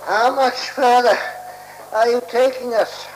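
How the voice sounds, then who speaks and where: An actor playing an irritable, weary old man in a radio play, querulous, halting and prone to groaning. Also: very high voice, steady tone. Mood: weary, sarcastic. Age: older. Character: irritable, weary elderly male hotel guest, complaining and groaning. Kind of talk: 1970s radio play acting. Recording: humming, noisy 1970s radio recording.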